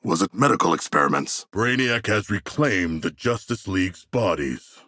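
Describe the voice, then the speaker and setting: Big deep rough shark man for a video game, solemn n battle hungry, talks super formal and literal, swings up and down a lot. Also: expressive, moderate pace, pushed and bright, no room sound, studio quality, heavily acted. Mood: solemn, matter-of-fact. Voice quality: gravelly, rough. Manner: formal, stilted, grandiose diction with no contractions. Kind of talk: video game character voice lines.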